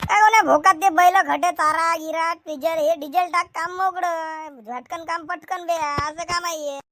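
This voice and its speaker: A woman playing a grumbling, bossy male village farmer in a comedy cartoon, with a Vidarbha Marathi accent and loud, colloquial delivery. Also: very high voice, steady tone, normal speed. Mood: boastful. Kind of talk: homemade rural comedy cartoon dialogue.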